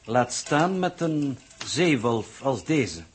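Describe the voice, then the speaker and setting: An actor playing a dry, pedantic mission coordinator in a 1960s Dutch radio drama, speaking formally and precisely with a Netherlands accent. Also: male voice, medium pitch, sing-song, takes it slowly. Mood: deadpan. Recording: noisy 1960s radio recording.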